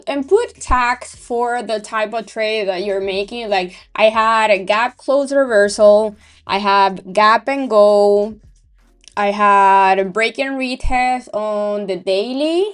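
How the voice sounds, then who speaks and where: A woman with a Venezuelan accent chatting casually on a day-trading livestream, thinking aloud with fillers and pauses. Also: on the high side. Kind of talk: casual live-stream chat.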